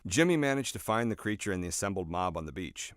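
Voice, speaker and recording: A man reading his own nonfiction book as scripted narration, in a measured, expressive storytelling way with emphatic phrasing. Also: on the low side, no room sound, studio quality. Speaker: author reading own audiobook.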